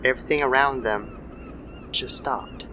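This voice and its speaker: A man's voice: medium pitch, wildly swooping pitch, slow pace, pushed and bright, slight background noise. A man narrating a scripted horror story as an old-time radio style storyteller.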